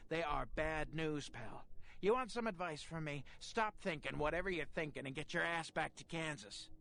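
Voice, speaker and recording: An actor playing a sleazy, cagey porn shop owner in a video game, with brash, slangy street talk. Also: male voice, a little high, moderate pace, gravelly, fairly bright tone. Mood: wary, warning.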